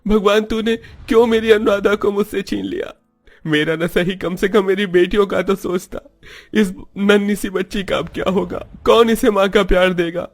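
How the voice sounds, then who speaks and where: Man doing a frantic young husband, high strained voice, pleading and sobbing, animated story. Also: normal speed, soft background music. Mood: grief-stricken. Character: grieving young husband, desperate.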